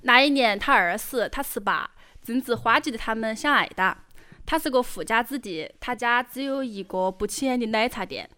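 A woman's voice: a little high, expressive, moderate pace, sharp tone. A woman with a Chongqing accent giving scripted narration for an audio drama.

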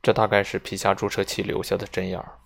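A man's voice: low-pitched, monotone, quick pace, vocal fry. A man voicing a nervous middle-aged doctor, clinical at times and hesitant and defensive when under suspicion, in an audiobook.

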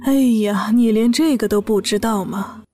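A woman acting a sharp-tongued, nosy, know-it-all mother in an audio drama. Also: mid-range voice, normal speed, close to an everyday voice. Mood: needling.

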